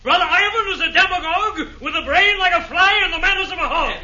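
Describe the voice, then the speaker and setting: Quarrelsome demon, very high, old radio play. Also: male voice, fast talker, bright, forceful tone, lo-fi sound, exaggerated voice. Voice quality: brash, quarrelsome. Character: Hayman, hotheaded veteran demon. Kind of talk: 1940s radio acting.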